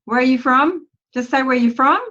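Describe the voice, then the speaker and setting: A woman's voice: high voice, pushed and bright. Upbeat woman hosting a video call. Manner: upbeat, quick to praise each guest. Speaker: host of a team video call. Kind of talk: casual group call hosting. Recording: muffled video call audio.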